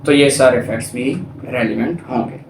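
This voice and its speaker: A man's voice: medium pitch, fairly even intonation, brisk, vocal fry, slight background noise. A man with a Pakistani accent giving an explanatory law lecture, restating points methodically and mixing in English legal terms. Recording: echoey, reverberant room sound.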